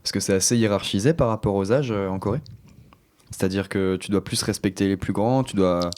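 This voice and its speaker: A young man leads a relaxed table conversation, speaking fast with a very low voice. He explains his points at length, tossing in fillers as he goes. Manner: quick, explanatory talk with fillers. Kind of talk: casual chat.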